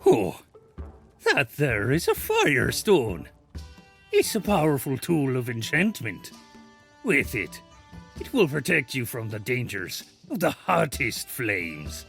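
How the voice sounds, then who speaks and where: An actor playing a hearty, kindly bearded dwarf blacksmith in a fantasy audio drama, with a jovial, avuncular, theatrical manner. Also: male voice, medium pitch, huge pitch swings, slow, vocal fry, fairly bright tone, full character voice. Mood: earnest. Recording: music under the voice.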